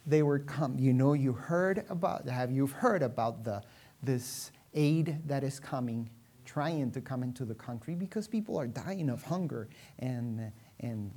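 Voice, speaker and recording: Low-voiced preacher from Venezuela, a woman. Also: expressive, mellow. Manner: rhetorical questions, dramatic emphasis and pauses. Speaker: pastor preaching to a congregation. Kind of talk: sermon.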